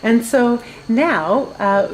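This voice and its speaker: A woman moderating an interview, asking slow, deliberate, encouraging questions. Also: a little high, slight background noise, low-quality recording.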